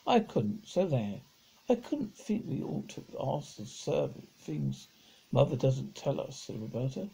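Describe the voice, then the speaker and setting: A middle-aged man with a husky, gravelly voice reads a children's novel aloud, halting and stumbling over words as he goes. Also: mid-range voice, very animated, dark tone, low-quality recording. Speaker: amateur storybook reader. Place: indoors at home, seated on a sofa.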